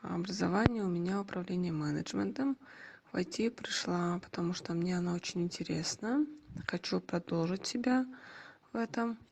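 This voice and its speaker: A woman's voice: lowish voice, moderate pace, creaky, darkish tone. A twenty-eight-year-old woman hesitantly introducing herself to an online class over a video call.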